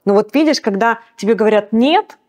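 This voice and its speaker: A woman's voice: expressive, brisk, a little mellow. Emphatic woman singer, motivational talk. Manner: emphatic, motivational, pitch jumps up on key words. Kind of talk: interview guest.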